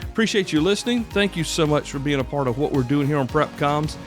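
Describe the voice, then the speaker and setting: A man's voice: fairly high pitch, lively intonation, fast, soft background music. An American man hosting a podcast solo, talking casually in a folksy, conversational way straight to his listeners. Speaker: podcast host.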